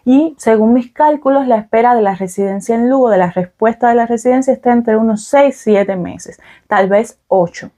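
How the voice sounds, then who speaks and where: A woman's voice: a little high, normal speed, hardly any pauses, dark tone. A young adult woman from Cuba with a Cuban Spanish accent, talking casually to camera in a vlog, explaining with fillers and "ok?" check-ins. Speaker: vlogger.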